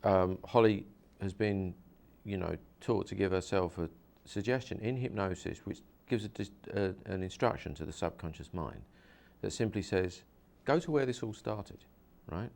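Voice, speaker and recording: Deep-voiced man explaining hypnosis. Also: creaky, darkish tone. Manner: earnest, explains slowly with repetitions and restarts. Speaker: hypnosis practitioner and interviewer. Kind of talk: explanatory interview talk.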